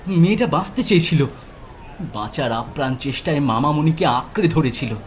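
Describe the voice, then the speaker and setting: A man's voice: fairly high pitch, lively intonation, normal speed. A man narrating a scripted horror story with intense, suspenseful, dramatic delivery. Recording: loud ambient noise behind the voice.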